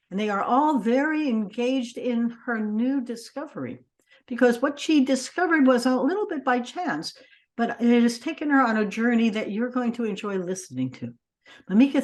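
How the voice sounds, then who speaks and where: A woman hosting a podcast, introducing her guest with an enthusiastic, expressive delivery. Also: fairly high pitch. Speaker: podcast host.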